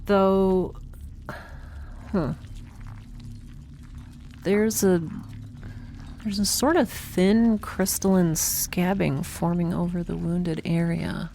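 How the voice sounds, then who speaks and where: A woman's voice: on the low side, steady tone, very slow, long pauses, dark tone, slight background noise. A woman playing an earnest young ship's medic in an audio drama, hesitant and rambling as she thinks aloud. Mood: thoughtful. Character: X, earnest young woman, improvised ship medic, awkwardly reassuring.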